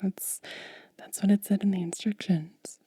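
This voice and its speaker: A woman doing soft-spoken ASMR, mostly whispering in a gentle, breathy, casual way as she narrates a hands-on demonstration close to the mic. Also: medium pitch, steady tone, no room sound. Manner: gentle, unhurried, casual commentary. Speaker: ASMR video creator and host. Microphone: close mic, dry.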